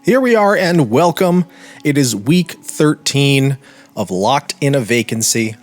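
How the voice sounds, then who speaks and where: An American man speaks as a podcast host in a medium-pitched voice. He phrases things deliberately and earnestly, leaning on key words for emphasis. Music plays softly behind him. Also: lively intonation. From United States. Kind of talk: podcast introduction and personal commentary. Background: background music.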